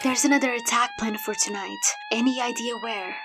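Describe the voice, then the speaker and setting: A woman playing a determined, urgent young investigator in an audio drama, with a husky voice. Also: on the high side, wildly swooping pitch, moderate pace, music in the background.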